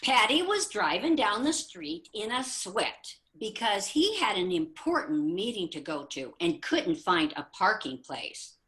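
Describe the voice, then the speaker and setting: A woman reads jokes at an unhurried pace with lively, swooping pitch. Her voice is bright and carries over a video call. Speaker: community theater reader. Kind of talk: reading jokes aloud.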